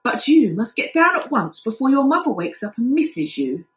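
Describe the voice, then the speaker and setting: A woman's voice: on the high side, big pitch swings, normal speed, far from the mic. A woman voicing a kindly but bossy little female fairy doll in a storybook, earnest and instructing. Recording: echoey room.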